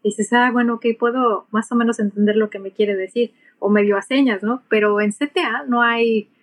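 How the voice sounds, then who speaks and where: A woman's voice: a little high, steady tone, moderate pace. A middle-aged woman with a Mexican Spanish accent, answering conversationally as an interview guest and explaining things through acted-out examples. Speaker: interview guest, pilot and aviation English instructor.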